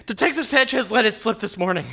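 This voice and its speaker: Volatile bereaved husband, high and creaky, obsessive, distraught audio-drama delivery. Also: male voice, quick pace, pushed and bright, heavily acted. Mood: agitated grief. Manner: distraught, repetitive, explosive. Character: volatile, grief-stricken widower, agitated and obsessive.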